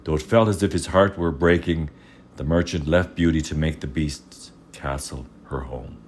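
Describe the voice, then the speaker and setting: A man reading a fairy tale aloud as storyteller, measured and expressive in his scripted narration. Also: very deep voice, slight background noise.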